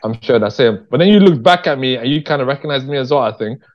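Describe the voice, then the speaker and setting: A man's voice: mid-range voice, lively intonation, fast, muffled recording. A British man talking as an interview guest in an informal, slangy, emphatic way, often checking that the listener follows. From Birmingham, England. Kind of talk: casual conversational interview.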